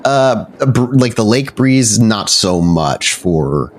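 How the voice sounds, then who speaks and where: A man's voice: on the low side, sing-song, slow. A man narrating a tabletop roleplaying game descriptively as game master.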